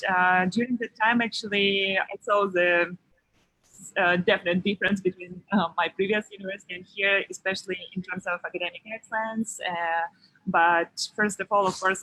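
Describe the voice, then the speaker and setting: A young woman from Kazakhstan, a PhD student, answering panel questions in a measured way with hesitant pauses. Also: medium pitch, fairly even intonation, sharp tone, rough recording. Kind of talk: conversational Q&A answers.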